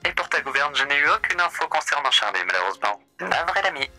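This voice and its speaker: A man's voice: on the high side, very animated, fast, sharp tone, faint music behind. A man voicing a polished, theatrical hotel host in an online roleplay, with formal, old-fashioned phrasing. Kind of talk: in-character roleplay chat.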